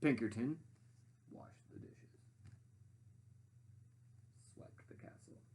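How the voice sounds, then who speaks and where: A woman reading a storybook expressively to children, with dramatic pauses and asides to her young listeners. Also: rumbling low, very noisy. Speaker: storybook reader.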